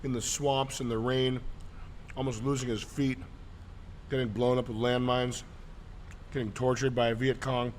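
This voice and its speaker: A man of ordinary pitch talks casually on a podcast, mostly chiming in with short agreements and prompting his guest. Faint background noise hangs under the sound. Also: flat delivery, moderate pace, pauses often.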